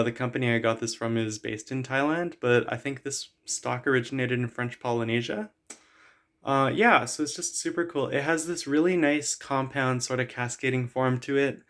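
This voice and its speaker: A man vlogging about a houseplant in a chatty, enthusiastic way, with many "uh" fillers. He is a little hoarse from losing his voice. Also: mid-range voice, a bit bright. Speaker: plant vlogger. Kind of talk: casual show-and-tell.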